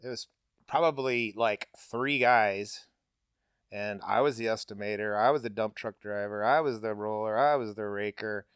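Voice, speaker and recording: A man's voice: fairly low pitch, moderate pace, fairly bright tone, clear, resonant tone, no room sound, studio quality. A middle-aged man, a paving business owner, talking candidly and bluntly with lots of casual fillers. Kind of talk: candid interview talk.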